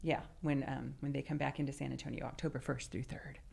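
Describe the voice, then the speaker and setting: Woman, 60s, podcast host; deep, slightly fried tone, relaxed anecdotal chat. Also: quick pace, creaky, husky. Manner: chatty, anecdotal storyteller. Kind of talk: casual interview chat.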